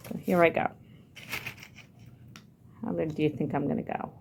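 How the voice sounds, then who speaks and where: A woman's voice: deep voice, fairly even intonation, moderate pace, creaky, loud music behind the voice. A woman children's librarian leading a hands-on building lesson, asking the young viewers lots of encouraging questions. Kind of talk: hands-on craft lesson for kids.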